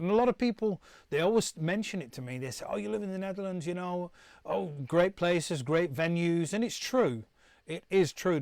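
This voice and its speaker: A man's voice: a little high, no echo. A middle-aged man from the UK, chatting casually to viewers on a live stream, rambling and restarting often. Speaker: musician hosting a live stream.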